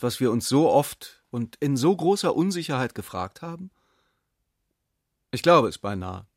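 A man's voice: mid-range voice, no echo, studio quality. A man reading literary narration and dialogue for a radio play.

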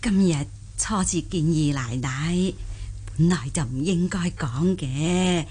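A woman playing a humble, hesitant old country granny who flatters rich relatives in a Cantonese radio drama. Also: sits low, slow pace, slightly rough, heavily acted. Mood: hesitant, deferential. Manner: hesitant, deferential.

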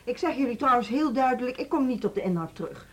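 An actress in a Dutch radio drama playing a rigid, principled music school director, stern, formal and stubborn, with a slightly husky voice. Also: female voice, on the high side, animated, quick pace, dull audio. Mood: stern, unyielding.

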